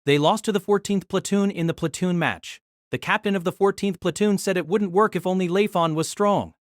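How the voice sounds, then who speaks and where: A woman's voice: on the low side, brisk, dry recording, clean studio sound. A woman narrating a scripted, dramatized story as its narrator.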